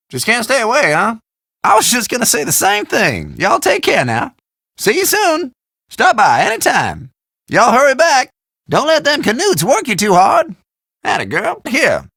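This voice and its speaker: Folksy, swooping Southern country shopkeeper. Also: male voice, very high voice, huge pitch swings, moderate pace, gravelly, sharp tone, no echo, clean studio sound, full character voice. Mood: friendly, chatty. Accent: American Southern or South Central country. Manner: folksy, rambling, theatrical drawl. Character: folksy, chatty, forgetful small-town male shopkeeper. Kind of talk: video game voice acting.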